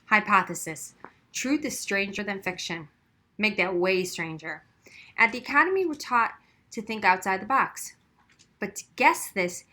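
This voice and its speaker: A woman reading a children's novel aloud as expressive, scripted first-person narration. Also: medium pitch. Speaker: audiobook reader.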